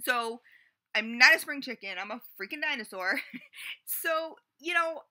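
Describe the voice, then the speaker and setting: A woman's voice: high voice, lively intonation, a little slow, sharp tone. A woman in her late forties talking in a rambling, chatty confessional way, full of fillers and restarts. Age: 40s. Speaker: vlogger. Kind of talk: casual confessional vlog.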